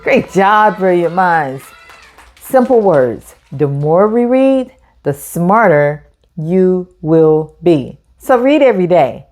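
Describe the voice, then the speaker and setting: Woman reading slowly and expressively to children. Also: fairly low pitch, sing-song, a little mellow. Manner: encouraging, addresses children directly, deliberate phrasing. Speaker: storytime host. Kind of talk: children's read-aloud narration.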